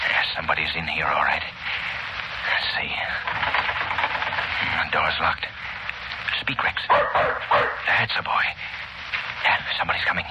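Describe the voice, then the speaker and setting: An actor playing a shrewd, assured gentleman detective with polished, expressive delivery in a 1930s radio drama on a very noisy old recording. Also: extremely low pitch, slow pace, long pauses. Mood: purposeful.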